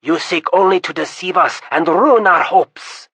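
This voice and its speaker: A reader voicing a suspicious royal advisor who speaks in a loud, accusing, scornful way. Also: mid-range voice, measured pace, breathy edge, no room sound, voice pushed up.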